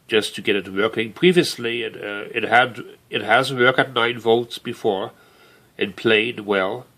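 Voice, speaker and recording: A middle-aged man narrating an unscripted hands-on demonstration as a hobbyist, halting and rambling as he thinks aloud. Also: medium pitch, fairly bright tone. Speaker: hobbyist showing his broken tape recorder. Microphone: handheld camera or phone mic.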